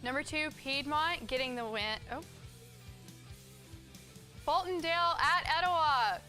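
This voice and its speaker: A woman reads football scores as a sports co-host. Her voice is clearly high and bright, delivered in a scripted broadcast style over faint music. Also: unhurried.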